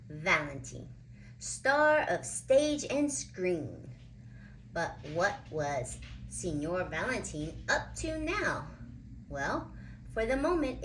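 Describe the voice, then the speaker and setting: A woman reading a children's picture book aloud with deliberate, dramatic phrasing. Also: medium pitch, fairly bright tone, a little hiss or hum, poor audio. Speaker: children's storybook reader.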